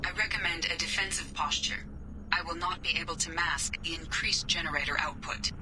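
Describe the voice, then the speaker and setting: A starship's artificial intelligence voiced by a woman speaks in a low female register. It is formal, precise and unemotional, in game dialogue over faint music. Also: a little fast, natural voice, poor audio. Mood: calm, precise. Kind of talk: video game dialogue acting.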